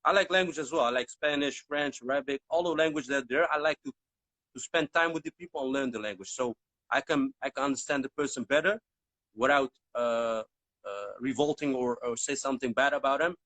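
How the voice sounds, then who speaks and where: A man's voice: mid-range voice, fairly quick, fairly bright tone, dry recording, rough recording. A man, a Berber speaker, interviewing casually and rambling with lots of repeated words. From Netherlands.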